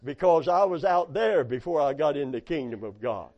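A hoarse man preaching a sermon, telling folksy stories and calling out amen and hallelujah. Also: on the high side, lively intonation, moderate pace. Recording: dull lo-fi sound.

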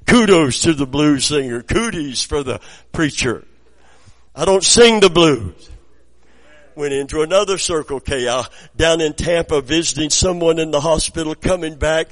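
A raspy-voiced preacher, middle-aged, telling stories from his week in a sermon. His voice sits a little high for a man, and he drops to a murmur before rising to loud, ringing praise. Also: normal speed, poor audio. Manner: swings between quiet storytelling and loud exclamations. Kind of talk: sermon with personal anecdotes.

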